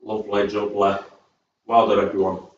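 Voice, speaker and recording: A man's voice: fairly low pitch, monotone, moderate pace. A man from Southport with a northern English accent, leading a chair-based exercise class in a chatty, upbeat way and counting down each set. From England, born in Birmingham, raised in Southport. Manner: chatty, upbeat, rambles between cues, counts down reps. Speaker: fitness instructor. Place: large echoey room at a community sports centre. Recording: very echoey, distant and dull.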